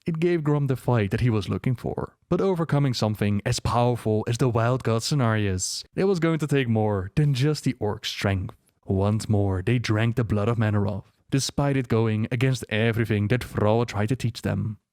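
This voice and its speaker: A man's voice: mid-range voice, moderate pace, darkish tone, dry recording, studio quality. A man narrating game lore from a script, in a dramatic storytelling manner.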